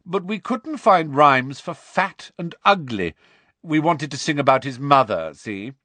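A man's voice: lowish voice, moderate pace, no room sound, natural voice. A man voicing a spiteful, smug teenage schoolboy bully in an audiobook, with a taunting drawl. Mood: mocking. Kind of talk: audiobook character voice.

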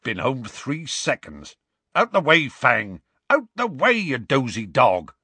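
Casting: huge rustic storybook bloke, husky and gruff, blunt, evasive, soft-hearted, swinging pitch. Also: male voice, very animated, normal speed, no room sound, heavily acted. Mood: gruff, hurried. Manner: rustic dialect, blunt, blustering.

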